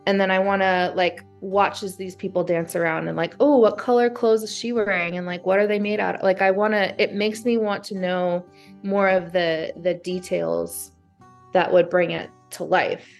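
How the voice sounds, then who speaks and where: Animated woman brainstorming over music. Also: on the low side, moderate pace, creaky. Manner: animated, acts out imagined thoughts and exclamations. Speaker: guest being coached through writer's block. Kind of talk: casual brainstorming chat.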